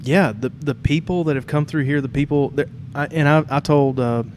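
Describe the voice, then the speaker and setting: A man's voice: a little high, fairly even intonation, moderate pace, a little mellow. A man hosting a podcast in an informal, conversational way, recorded in a shared studio space in a theater. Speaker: podcast host. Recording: clear background noise.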